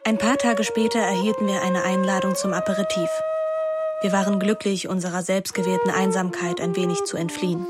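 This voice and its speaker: A young woman played by an actress narrates a radio drama, introspective and languid. Her delivery is measured and very even in pitch, over clearly audible music. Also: lightly acted. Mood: reflective. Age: young adult. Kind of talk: literary radio play narration.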